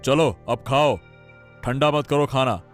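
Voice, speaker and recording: A man plays a cartoon father-in-law who rules the house with firm, fatherly authority. His voice sits at an ordinary pitch, with faint music underneath. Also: measured pace, natural voice. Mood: scolding. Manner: firm, reassuring, scolding. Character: stern but kind middle-aged father-in-law, head of house. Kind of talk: cartoon story dialogue. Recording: soft background music.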